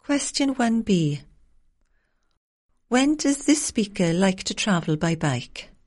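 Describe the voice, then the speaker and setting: A woman reading formal, clearly enunciated instructions as the narrator of a language exam recording. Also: mid-range voice, big pitch swings, takes it slowly, a little mellow.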